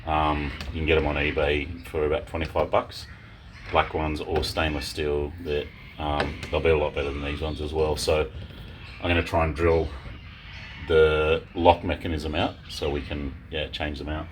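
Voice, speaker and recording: A man's voice: a bass voice, flat delivery, moderate pace. A man casually explaining renovation work as a vlogger. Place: at a bus being converted. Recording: noisy on-site recording with radio playing. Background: radio and ambient work noise.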